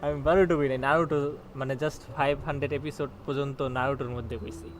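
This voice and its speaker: A man live-streaming a game, chatting rapidly and offhandedly with frequent swearing. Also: a little high, noisy background. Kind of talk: casual gaming stream chat. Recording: stream mic over game music.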